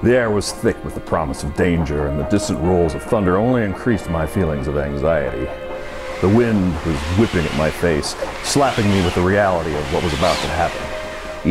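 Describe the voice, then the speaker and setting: Man acting deep-voiced brooding noir narrator. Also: lightly acted. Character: brooding lovelorn noir man narrating his own doom. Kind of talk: old-time radio suspense drama narration. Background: music and storm sound effects.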